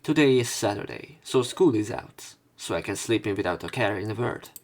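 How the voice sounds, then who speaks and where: A man's voice: on the low side, expressive, normal speed. A man doing a casual let's play of a visual novel, reading the story aloud with rambling, conversational asides.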